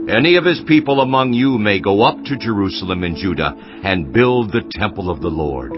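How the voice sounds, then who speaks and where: A man's voice: lowish voice, animated, a little fast, natural voice. An actor playing a solemn, commanding Persian king, declaiming a royal decree in a stately way in a dramatised scripture reading. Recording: muffled audio with music underneath.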